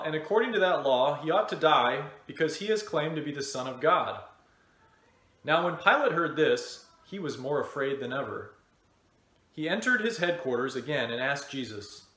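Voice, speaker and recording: Higher-voiced man reading scripture, roomy sound. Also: a bit bright. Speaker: church member reading scripture. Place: indoor room with bookshelves. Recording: roomy indoor echo.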